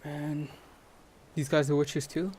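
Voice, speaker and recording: A man hosting a gaming video with casual, low-key commentary, at times reading game text aloud. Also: flat delivery, takes it slowly, darkish tone, a little hiss or hum. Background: game music.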